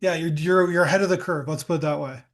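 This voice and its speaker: A man hosting a podcast interview, relaxed and chatty, encouraging his guest. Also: fairly high pitch, quick pace. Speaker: podcast host. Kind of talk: casual interview.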